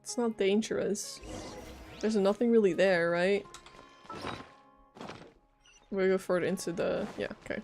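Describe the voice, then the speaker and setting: A woman's voice: medium pitch, steady tone, unhurried, creaky, pauses often, darkish tone. Casual woman streamer with music behind. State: has a lingering cough. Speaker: livestreamer. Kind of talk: casual game commentary and reading aloud.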